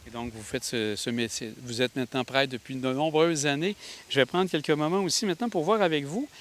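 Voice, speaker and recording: Halting local TV interviewer. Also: male voice, mid-range voice, a bit bright. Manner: long questions with halting restarts. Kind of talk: interview questions.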